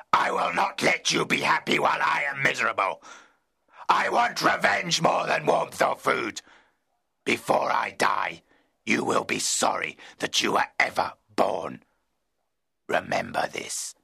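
A man voicing a huge, bitter male monster in an audiobook, speaking slowly and menacingly with a rough, raspy voice. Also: medium pitch, sharp tone, pitched above the performer's own voice, exaggerated voice. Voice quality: gravelly raspy rasp. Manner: slow, heavy, threatening delivery. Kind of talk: audiobook reading.

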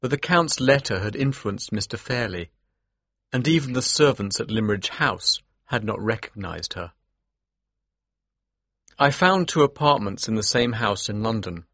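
Low, husky male actor as earnest gentleman narrator. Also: lively intonation, moderate pace, dry recording, exaggerated voice. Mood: measured narration. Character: earnest, determined young gentleman narrator of Victorian mystery. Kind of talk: scripted first-person audiobook narration.

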